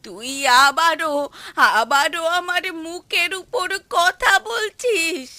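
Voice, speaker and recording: A woman doing a shrill-pitched, demanding old mother. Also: animated, takes it slowly, pushed and bright, heavily acted. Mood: wailing. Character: demanding, self-pitying elderly mother, wailing and scolding. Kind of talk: cartoon story dialogue. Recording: music playing behind the voice.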